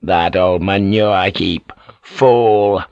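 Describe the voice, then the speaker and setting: A man's voice: deep voice, extremely slow, a little mellow, clear, resonant tone, no echo, dull audio, exaggerated voice. A man voicing a fierce, scheming older samurai lord, proud and commanding, in an audiobook performance. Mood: boastful scorn. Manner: imperious, emphatic, giving orders. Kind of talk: audiobook character acting.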